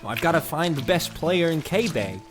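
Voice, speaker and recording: Man acting a timid, high-voiced young talent scout. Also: animated, normal speed, music in the background, natural voice. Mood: earnest. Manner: hesitant, stammering, apologetic. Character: timid, earnest young male talent scout nicknamed kid. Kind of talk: old-time radio comedy acting.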